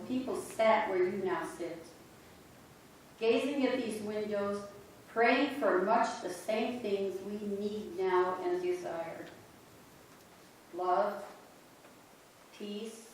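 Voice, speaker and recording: A woman reads aloud to a congregation at a slow, deliberate pace. She sits at a middle pitch, and her words carry the heavy, booming reverb of a large church sanctuary. The mic is far from her, so a faint background hum runs underneath. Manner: slow, measured recitation. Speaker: reader in a church play. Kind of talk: scripted reading to a congregation. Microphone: distant room mic. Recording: echoey sanctuary recorded from afar.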